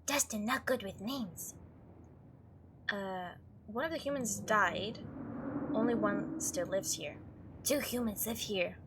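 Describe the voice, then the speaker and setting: Woman voicing a small, slow-talking, wary opossum. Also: mid-range voice, noisy background, natural voice. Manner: terse broken speech, slow and drawn out. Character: small wary opossum, simple-spoken, afraid and quiet.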